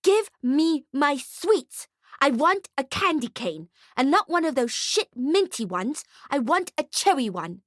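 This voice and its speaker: A woman acts out a spoiled little girl from a storybook. The voice is pitched high and pushed, full of defiance and petulant demands. The sound is dry and close. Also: very animated, a little slow, no echo. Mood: furious, demanding. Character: bratty, mean, demanding rich little girl.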